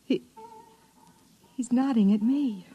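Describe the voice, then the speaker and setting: An actress playing a fearful, nervous young wife on the run, pleading in 1950s radio drama style. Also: female voice, on the high side, slow, mellow, breathy edge, muffled recording, exaggerated voice. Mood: frightened. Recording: old radio drama, crowd and music behind.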